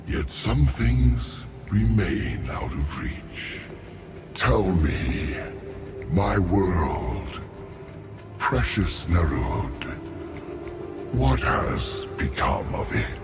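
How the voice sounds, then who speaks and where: An actor voicing an ancient, brooding godlike being in a video game, grandiose and theatrical, with music behind. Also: male voice, low voice, heavily acted. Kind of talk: dramatic video game voice acting.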